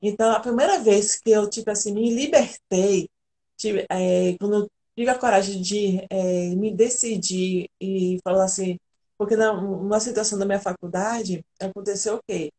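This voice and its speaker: A woman's voice: mid-range voice, steady tone, normal speed, mellow. A woman from Argentina, an integrative therapist, telling her personal story earnestly and candidly as a guest on a live video call.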